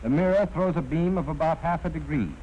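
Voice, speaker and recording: Higher-pitched 1940s American training film narrator, male. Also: fairly quick, dull audio. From United States. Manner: precise, formal, instructive. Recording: noisy vintage 1940s film soundtrack.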